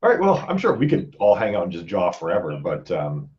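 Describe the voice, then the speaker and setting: A man's voice: low-pitched, huge pitch swings, brisk, a little mellow, muffled recording. A man hosting a podcast in casual, jokey conversation, informal in his phrasing. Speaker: podcast host. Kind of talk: casual chat.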